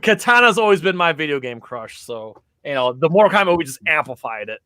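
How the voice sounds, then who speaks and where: A man chatting on a podcast, rambling eagerly through anecdotes with lots of fillers. His voice sits a little high, with a bright, forward ring. The sound is dry and close. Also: big pitch swings, fast, no room sound. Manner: enthusiastic, rambling storyteller with many fillers. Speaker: podcast co-host. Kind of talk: casual podcast chat.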